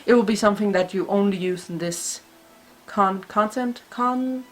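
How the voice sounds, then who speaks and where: A woman's voice: medium pitch, moderate pace, soft background music. Blunt young woman with a Danish accent. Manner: blunt, chatty, laughs often, swears, many fillers. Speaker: vlogger. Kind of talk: casual talking-to-camera vlog.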